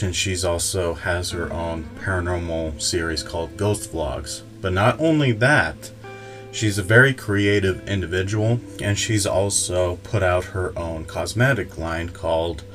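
A man with a very low voice hosts a casual podcast interview. He introduces his guest with measured emphasis and puts questions to her steadily. Quiet music plays faintly behind him. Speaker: podcast host.

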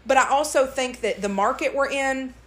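A woman's voice: high voice, moderate pace, a bit bright. A woman, co-hosting a casual podcast chat and laughing often. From West Tennessee. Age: 30s. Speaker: podcast co-host.

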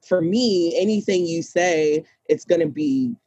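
A woman with a low speaking voice, a guest on a podcast, talking in an animated, emphatic way and laughing often. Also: unhurried, dry recording. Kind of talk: casual chat.

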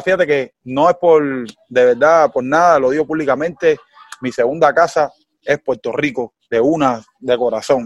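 A young Cuban man with a Cuban accent chatting as a guest in an interview, animated and full of fillers like "you know.". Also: mid-range voice, dry recording. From Cuba. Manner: animated, heavy fillers like "tú sabes" and "¿me entiendes?". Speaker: guest singer. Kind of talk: casual interview chat.

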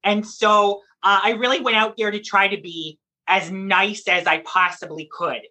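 Bright-voiced drag performer speaking as interview guest. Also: mid-range voice, fairly even intonation, a little slow, low-quality recording. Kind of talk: conversational interview answers.

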